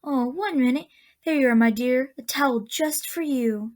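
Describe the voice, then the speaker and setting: Woman acting kindly, high-voiced old auntie. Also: normal speed, natural voice. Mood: warm, busy. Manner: gentle, genteel, grandmotherly endearments. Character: kindly, gently firm old woman of a magical land. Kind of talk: radio drama acting.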